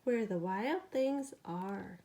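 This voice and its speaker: A woman's voice: medium pitch, lively intonation, takes it slowly, warm tone. A woman reading a children's picture book aloud like a teacher, with dramatic storytelling and questions to her listener.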